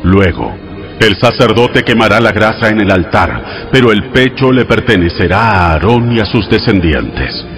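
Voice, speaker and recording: Deep, solemn voice of God over music. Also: male voice, sing-song. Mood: solemn, commanding. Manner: solemn, measured, authoritative. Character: God, solemn commanding male divine lawgiver. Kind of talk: dramatized audio Bible acting.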